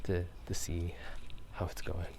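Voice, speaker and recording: A man whispering a casual, rambling ASMR chat in a halting, unhurried way while out walking through a town at night. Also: sits low, completely flat delivery, noisy background. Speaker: ASMR video host.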